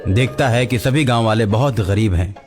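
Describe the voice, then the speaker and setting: A man's voice: lowish voice, moderate pace, music in the background. A man narrating a scripted cartoon story as the storyteller.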